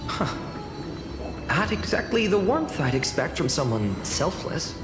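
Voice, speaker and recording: A man voicing an earnest, thoughtful young hero with hushed, hesitant, sincere delivery in a fantasy game. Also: a little high, big pitch swings, measured pace. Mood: thoughtful, moved. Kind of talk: dubbed fantasy game dialogue. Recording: long reverb with loud music.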